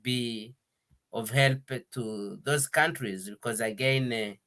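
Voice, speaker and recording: A man hosting an interview, talking in a relaxed, halting way with many fillers and restarts, now and then slipping into French. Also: medium pitch. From Congo. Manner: hesitant, many fillers and restarts, switches between English and French. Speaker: interview host. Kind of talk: conversational interview. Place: Denmark.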